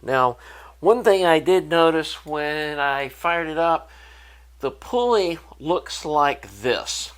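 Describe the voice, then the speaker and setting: A man with a slightly raised pitch talks through a hands-on repair as a hobbyist presenter. His delivery is unscripted and conversational, explaining each step informally. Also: lively intonation, slow pace.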